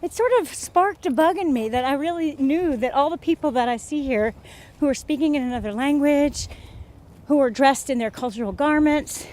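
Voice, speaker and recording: Earnest, slightly high-voiced woman guest. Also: darkish tone. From Georgia, USA. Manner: earnest, enthusiastic, talks in quick bursts. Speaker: interview guest. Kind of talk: casual interview conversation.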